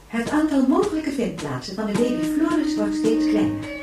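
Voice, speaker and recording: An actress playing a formal, composed newsreader, delivering a measured scripted bulletin in a science-fiction radio play. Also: female voice, high-pitched, big pitch swings, warm tone. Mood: formal, grave. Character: formal, composed female newsreader of a future age. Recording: roomy, distant sound over faint music.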